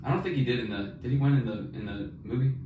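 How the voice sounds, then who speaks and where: A man's voice: mid-range voice, lively intonation, very fast. A man co-hosting a podcast in casual, slangy, enthusiastic banter about books with a friend. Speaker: podcast co-host. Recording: echoey room, distant mic, faint noise.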